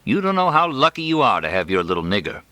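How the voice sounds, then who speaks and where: A man's voice: medium pitch, big pitch swings, quick pace, pushed and bright, no room sound, pitched above the performer's own voice. A man voicing a big, folksy older doctor in an audiobook, a teasing neighbor with a drawling, familiar manner. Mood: serious, scheming. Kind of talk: audiobook character dialogue.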